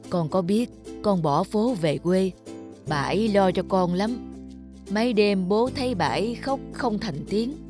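A man playing a gentle, cautious old village father in a cartoon, slow and measured as he advises. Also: sits high, animated, over music. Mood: concerned. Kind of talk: cartoon dubbing.

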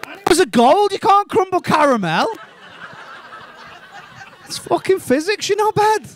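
Man in his thirties, high-voiced stand-up, crude and incredulous, live in a small comedy club on a handheld mic. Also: unhurried. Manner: animated, crude, ranting and incredulous.

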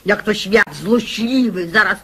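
A woman's voice: mid-range voice, a little slow, bright, forceful tone. A woman playing a gushing, rambling married mother in a radio comedy, gossipy and falsely humble. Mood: gushing, plaintive. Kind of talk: theatrical radio comedy acting.

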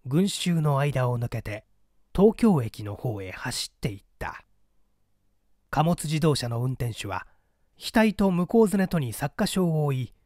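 A man reading a mystery story aloud in an expressive, scripted narrator's delivery. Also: on the high side, no room sound, clean studio sound. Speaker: audiobook reader, small-theatre actor.

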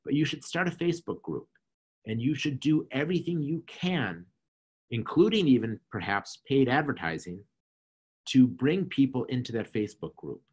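Nasal, husky middle-aged man coaching. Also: medium pitch, sing-song, moderate pace, a little mellow. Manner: earnest, coaching, repeats phrases. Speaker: interview guest, marketing consultant. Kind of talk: explaining advice in an online talk. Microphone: video call webcam.

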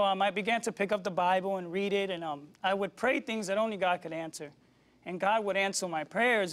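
Soft-spoken young man with a high voice. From Puerto Rico. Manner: soft-spoken, many "um"s, respectful "yes, sir". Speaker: reverend, guest. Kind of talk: personal testimony in an interview. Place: television studio set, indoors.

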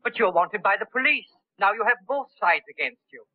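A man's voice: sits high, animated, a little fast, dry recording. An actor playing a deferential, loyal manservant in a 1930s film, polite and formal, calling his employer sir. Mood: earnest. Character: deferential loyal male manservant, middle-aged. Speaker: loyal butler Hendricks. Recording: tinny old 1930s film soundtrack.

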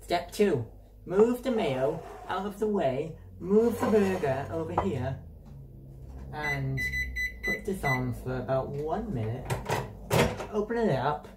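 A man's voice: fairly high pitch, animated, slow pace, very noisy. A teenage boy filming himself at home on a phone, playfully announcing each step of making lunch in a mock-serious way. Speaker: vlogger. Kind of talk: playful step-by-step cooking vlog. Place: home kitchen and bedroom, indoors. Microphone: handheld phone camera.

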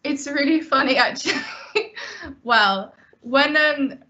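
A woman's voice: sits high, slow pace, sharp tone. Young woman student, chatty, hesitant, laughs a lot, muffled call audio. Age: young adult. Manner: rambling, hesitant, laughs often. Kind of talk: casual chat on a video call. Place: bedroom at home. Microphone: laptop webcam on a video call. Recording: dull lo-fi video call audio.

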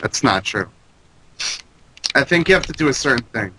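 A stuffed-up, congested man chats through a let's play, joking and ranting with a foul mouth at a middling pitch. Keyboard clicks and typing clatter clearly behind his voice. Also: animated, moderate pace, bright, forceful tone, a little hiss or hum, poor audio. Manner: joking, profane, ranty. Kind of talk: casual gaming commentary. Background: computer keyboard clicks.

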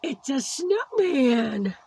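A woman hosting a casual craft video, her voice worn from losing it after surgery, slowly chatting and cooing over small crafts. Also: high-pitched, big pitch swings, gravelly, warm tone, a little hiss or hum. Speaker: crafting channel host. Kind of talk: casual unboxing chat. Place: indoors at a tabletop craft setup.

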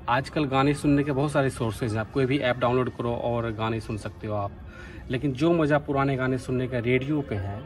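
A man from Bihar, India, talking casually and earnestly as a radio hobbyist making a vlog. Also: mid-range voice. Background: music from a radio.

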